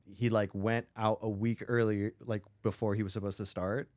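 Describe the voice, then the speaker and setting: Podcast guest, young man from Israel, low voice, rambly casual talk, dry close mic. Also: flat delivery, moderate pace, darkish tone, no echo. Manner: rambling, heavy use of "like" and "you know". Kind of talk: casual chat.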